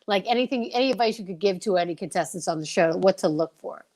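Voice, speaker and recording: A woman hosts a casual chat show. She speaks at a middle pitch in an effusive, encouraging way. Her talk is informal and conversational. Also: fast, darkish tone. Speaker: show host.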